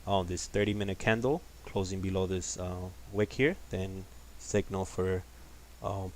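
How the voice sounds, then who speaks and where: A man with a deep voice hosting a live trading stream, talking in a calm, laid-back, casual way. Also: a little slow, a little hiss or hum. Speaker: host of a live trading session. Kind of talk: casual livestream market commentary.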